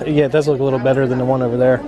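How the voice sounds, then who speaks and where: A man vlogging a shopping trip, chatting casually and reading out prices as he films in a quiet clothing store. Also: medium pitch, level tone, very fast, dull audio, a crowd in the background, noisy background. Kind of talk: casual chat.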